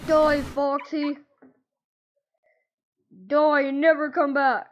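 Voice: high-pitched, little pitch movement, very slow. A performer voicing a murderous, vengeful animatronic bear in an improvised horror game role-play, recorded with some noise. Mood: menacing.

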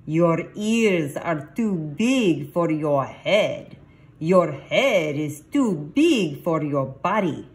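A woman voicing an excitable little boy kitten who plays at being a Chihuahua, with playful mock-Spanish flourishes, in a storybook reading. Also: low-pitched, drawn-out words, exaggerated voice. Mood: bouncy, boastful.

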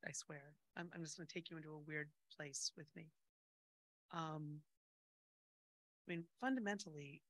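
A middle-aged woman speaking casually and unscripted from a conference stage into a handheld microphone, informal and self-deprecating. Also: fairly low pitch.

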